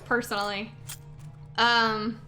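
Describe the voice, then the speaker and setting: A young woman streamer with a bright, forceful voice that sits a touch high, a little hoarse from talking a lot. She chats loosely with viewers, rambling and stacking up repeated thank-yous, with soft music faintly behind her. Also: little pitch movement, slow, poor audio. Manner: chatty, many filler likes, repeats thanks. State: a bit hoarse, sore throat. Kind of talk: casual chat while gaming.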